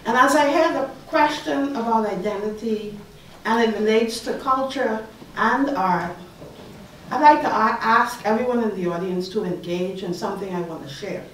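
A middle-aged woman from the Bahamas with a Bahamian accent, leading a slow, soothing guided meditation on stage as a panel artist. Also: a little high, a little hiss or hum. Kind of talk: guided meditation address to an audience. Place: large hall, on stage. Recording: echoey hall from far off.

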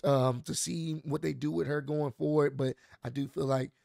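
Hoarse man with a gravelly voice. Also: fairly high pitch, normal speed, dry recording, clean studio sound. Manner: animated, talks with his hands, loose slangy delivery. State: hoarse, voice mostly gone. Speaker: wrestling show vlogger. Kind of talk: casual recap commentary. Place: small home studio. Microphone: large studio mic on boom arm. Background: soft instrumental music.

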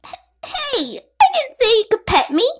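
A bashful, hot-tempered young witch for an animated fan dub. The voice is extremely high and swoops about, full of flustered, proud protest. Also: normal speed, full character voice.

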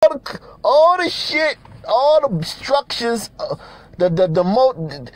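A man's voice: extremely high pitch, sing-song, slow. A man from Nigeria giving blunt, profane, repetitive commentary over pictures, often laughing between points.

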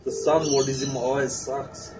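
A man's voice: mid-range voice, flat delivery, normal speed. A man in his thirties with an Australian accent, talking in crude casual slang as a prank caller. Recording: distant phone-camera pickup with noise.

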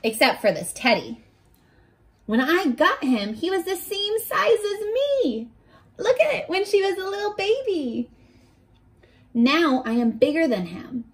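A woman in her twenties reading aloud and teaching young children in an animated, encouraging way, pausing to ask questions, recorded at arm's length indoors. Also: high voice. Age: 20s. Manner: animated, encouraging, asks questions and pauses for answers. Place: small furnished bedroom, indoors.

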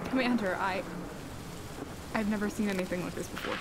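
A woman's voice: medium pitch, a little fast, lightly acted. A woman playing an anxious, dutiful communications officer in a science fiction audio drama. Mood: awed, frightened. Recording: noisy rain and storm effects.

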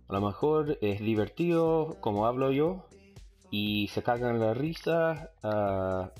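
A man with English as his first language and a Chilean-tinged Spanish accent, casually commentating a football video game, hesitating often and switching between Spanish and English. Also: on the low side. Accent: Chilean Spanish, English-speaking learner. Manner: mixes Spanish with English, hesitant, frequent fillers; bursts of loud excitement at plays. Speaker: gaming YouTuber.

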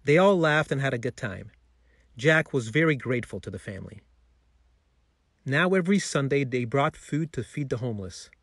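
A man's voice: medium pitch, animated, moderate pace, a bit bright, no echo, clean studio sound. A man narrating a scripted bedtime story for children as a storyteller.

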